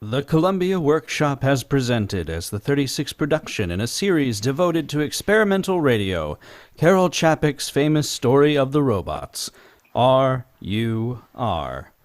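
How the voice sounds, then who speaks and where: A man's voice: medium pitch, sing-song, unhurried. A male actor playing a formal, declamatory announcer for a 1930s radio network.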